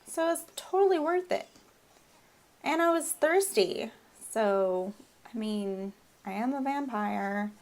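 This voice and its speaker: Southern woman, head cold, chatty and giggly, gameplay commentary, mid-pitched voice. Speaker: gameplay video host.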